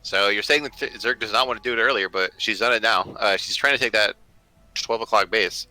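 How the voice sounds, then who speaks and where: A man's voice: fairly low pitch, a little fast, pushed and bright, rough recording. A man co-casting a strategy game, chatting casually and giving analysis with lots of fillers. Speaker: esports co-caster. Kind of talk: live game commentary.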